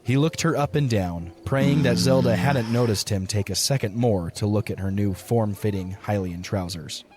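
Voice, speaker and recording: A man's voice: lowish voice, moderate pace, a little mellow, soft background music. A man reading scripted third-person story narration as the narrator of an audio drama.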